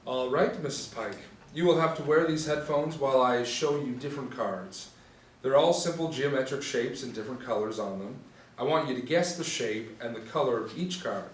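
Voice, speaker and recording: A man's voice: mid-range voice, normal speed, natural voice. An actor at a table read playing a businesslike research doctor in his thirties, formal and instructing. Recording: echoey room, distant mic, faint noise.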